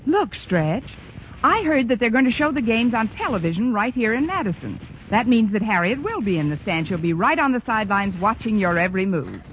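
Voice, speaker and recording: An actress playing a dry, sarcastic English teacher who also narrates, in a 1940s radio comedy on a lo-fi broadcast. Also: female voice, on the high side, sing-song, a little fast, a bit bright. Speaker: narrator and lead. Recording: lo-fi old radio broadcast with faint hiss.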